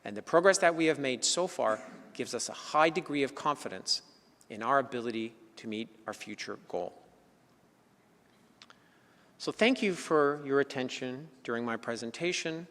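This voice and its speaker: Man, corporate keynote, measured delivery, long hall echo. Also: medium pitch, animated, a bit bright. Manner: measured, enumerating points, rising emphasis on openers. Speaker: insurance executive presenting. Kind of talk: scripted corporate presentation.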